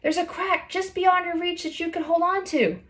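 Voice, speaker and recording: Woman doing a high, shouting young girl. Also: fairly even intonation, moderate pace. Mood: cheering. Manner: shouting from below. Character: loyal, encouraging young girl, the climber's best friend.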